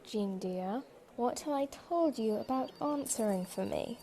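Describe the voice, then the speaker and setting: Woman playing a strict lady store boss, kinda high voice, formal and talks down to people, audio drama. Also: animated, warm tone. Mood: stern. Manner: brisk, formal, condescending. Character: stern, exasperated woman boss of a department store. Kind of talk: fan audio drama acting.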